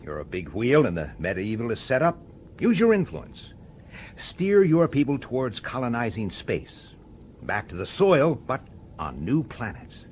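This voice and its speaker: An actor playing a weary, dogged middle-aged police detective in a science fiction radio drama, pressing and intense. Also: male voice, medium pitch, wildly swooping pitch, measured pace, a little hiss or hum. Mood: earnest, urgent. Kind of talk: radio drama acting with first-person narration.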